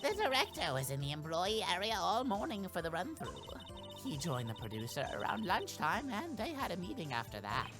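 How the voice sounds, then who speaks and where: A man's voice: sits high, wildly swooping pitch, fairly quick, over music, voice pushed up. A man voicing a nagging, gossipy, indignant old woman witness in a video game, huffy and rambling as they scold. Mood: grudging explaining.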